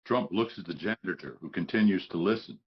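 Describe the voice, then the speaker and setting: A man's voice: low-pitched, brisk, breathy edge, lo-fi sound. A man reading stage directions as the narrator of a script, in scripted narration style.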